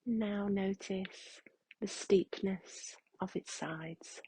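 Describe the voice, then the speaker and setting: A woman guides a meditation in a hushed, very breathy voice with a soft, mellow tone. She speaks very slowly and gently, leaving long pauses between phrases. The sound is dry and free of room echo. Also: mid-range voice.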